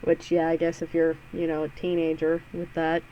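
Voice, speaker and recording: A woman's voice: low voice, completely flat delivery, moderate pace, mellow, lo-fi sound, slight background noise. A woman hosting a let's play, reading a visual novel aloud with sarcastic, giggly asides and free swearing. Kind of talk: casual, joking read-through with asides.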